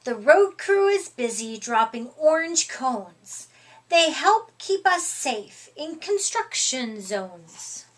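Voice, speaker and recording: A middle-aged woman reading a picture book aloud to young children in an expressive, deliberate, emphatic way. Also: sits high, a little hiss or hum. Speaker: storytime reader. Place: small furnished room indoors.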